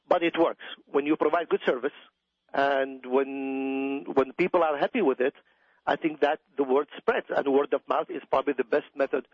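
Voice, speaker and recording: A man's voice: medium pitch, breathy edge, no room sound. A middle-aged man with an Iraqi accent speaking as an expert guest in a conversational radio interview, emphatic and given to repeating phrases. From Iraq. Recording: dull, dry radio audio.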